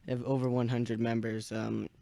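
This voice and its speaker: Young male radio net host, mellow but smooth, halting casual delivery, dry close sound. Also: mid-range voice, flat delivery, dry recording. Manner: halting, searching for words. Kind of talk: casual radio check-in chat. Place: small indoor radio room.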